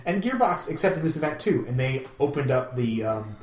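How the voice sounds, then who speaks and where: A man's voice: medium pitch, very animated, moderate pace. A man co-hosting a casual gaming podcast, rambling and joking with enthusiasm. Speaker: podcast co-host. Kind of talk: casual hobby podcast chat about games. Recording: roomy echo, faint background noise.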